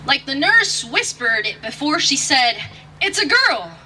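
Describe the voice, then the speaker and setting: A woman's voice: high-pitched, big pitch swings, measured pace, sharp tone. A woman performing spoken word poetry with a rhythmic, emphatic, dramatic delivery. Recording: distant mic with faint background noise.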